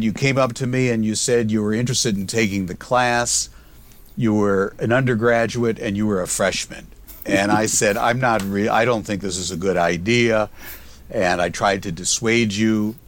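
A middle-aged man hosting an academic podcast interview, reading a measured introduction and then asking conversational questions. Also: lowish voice. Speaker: podcast host, professor.

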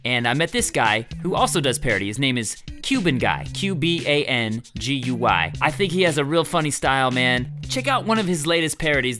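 A man hosting a comedy show, wisecracking through music news and chatting with a guest. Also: mid-range voice. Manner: wisecracking, animated. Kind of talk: comic music news chat.

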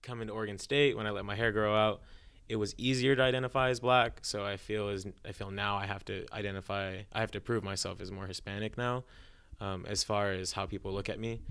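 A man's voice: on the low side, fairly bright tone, clean tone. A young man with an American accent, giving a reflective personal account as a podcast guest. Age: young adult.

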